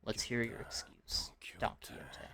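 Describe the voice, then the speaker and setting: A woman voicing a stern, menacing man of few words in a breathy whisper. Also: measured pace, bright, forceful tone. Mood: cold, restrained.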